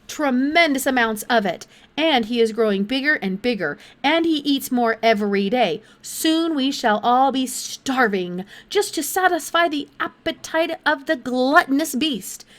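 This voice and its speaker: A blustering, self-important male politician from a children's storybook , acted out by a woman. The delivery is high and declamatory, pushing forcefully through each grand phrase. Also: animated, unhurried, few pauses. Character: pompous, blustering fat male senator making an outraged speech. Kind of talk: children's audiobook character voice.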